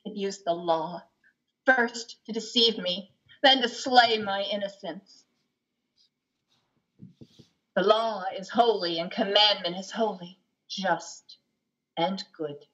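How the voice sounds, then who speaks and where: A woman plays a condemned, bitter soul reciting scripture in a stage drama. The delivery is slow, emphatic and anguished, in dull video-call sound. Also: medium pitch, fairly bright tone. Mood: bitter, solemn.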